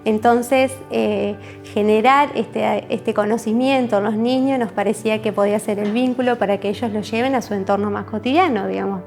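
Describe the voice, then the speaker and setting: A woman's voice: medium pitch, steady tone, normal speed, few pauses, a little mellow. A woman with an Argentine accent, explaining things in an interview as a communicator. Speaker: communicator interviewed about a university project. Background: music.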